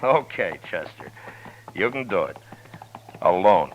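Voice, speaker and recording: An American actor playing a weary, level-headed frontier marshal in a 1950s radio western, speaking with restrained authority. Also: male voice, low-pitched, sing-song, slow pace, heavily acted. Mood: grave, measured. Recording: muffled, hissy vintage radio recording.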